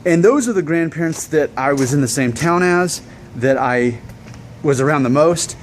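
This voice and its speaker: A man in his thirties from Alabama, his mild Southern American accent coming through as he talks casually to the camera. His voice sits a little high for a man, and he thinks aloud in a relaxed, chatty way. A faint noise hums underneath the recording. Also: normal speed. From Alabama, USA. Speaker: vlogger.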